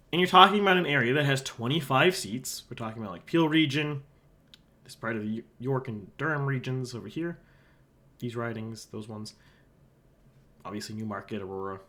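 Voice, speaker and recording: A man from Halifax with a sore throat, giving casual solo commentary as he walks through an election forecast map. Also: medium pitch. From Halifax, Canada. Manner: casual and conversational, drops quieter aside asides while pointing at a map. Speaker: election analyst, channel host.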